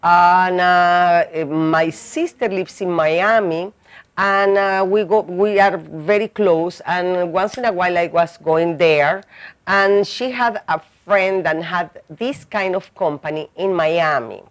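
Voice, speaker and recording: Venezuelan woman, lowish voice, chatty interview guest, English. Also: takes it slowly. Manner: chatty, warm laughs, frequent fillers and restarts. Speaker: guest business owner. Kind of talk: interview conversation.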